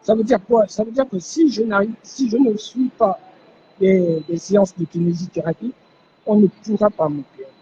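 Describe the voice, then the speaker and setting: Man, interviewee, high warm voice, lo-fi phone with faint ambience. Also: fairly even intonation. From Gabon. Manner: measured, explains a grievance step by step. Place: airport. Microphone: host's phone. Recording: muffled phone audio with ambient noise.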